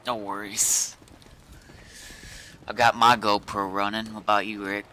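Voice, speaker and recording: A man's voice: lowish voice, level tone, measured pace, pushed and bright. A man chatting casually in character as a player in an online roleplay game. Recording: faint game and keyboard noise.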